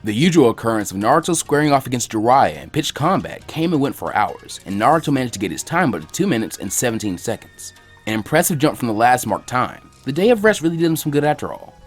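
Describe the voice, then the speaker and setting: A man reading fan fiction aloud, quick and lively, putting on voices for the characters. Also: medium pitch, faint music behind. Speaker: fanfiction reader.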